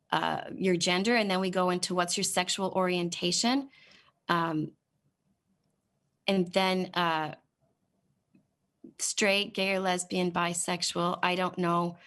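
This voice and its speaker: Woman, lowish voice, frustrated, sarcastic, halting, hearing room. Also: fairly bright tone. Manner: exasperated, sarcastic questioning, halting with many fillers. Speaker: state senator at a committee hearing. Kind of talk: committee testimony, reading off a website form.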